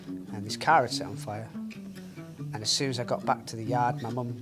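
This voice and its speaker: A man's voice: medium pitch, fairly even intonation, moderate pace. Reflective young man. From Northwest England. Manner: soft-spoken, reflective, grieving. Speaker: singer-songwriter. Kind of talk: documentary interview. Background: guitar music.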